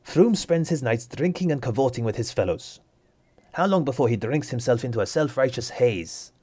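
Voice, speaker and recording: A man's voice: medium pitch, animated, normal speed, mellow, slightly rough, pitched above the performer's own voice. A man voicing an indignant female priestess who complains sharply and demands action. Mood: angry.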